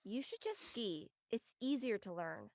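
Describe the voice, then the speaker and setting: A woman's voice: fairly high pitch, sing-song, unhurried, darkish tone, no echo, studio quality. A woman playing an earnest, protective, easily exasperated young college student in an audio drama. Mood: dry. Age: young adult. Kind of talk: acted audio drama dialogue.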